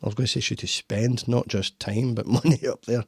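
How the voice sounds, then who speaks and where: A Scottish man hosts a relaxed podcast chat, rambling and restarting his sentences. His voice has a gravelly rasp, and the studio sound is dry and close. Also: medium pitch, brisk, no echo. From Scotland. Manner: warm, rambling, talks with frequent restarts. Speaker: podcast host. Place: home studio.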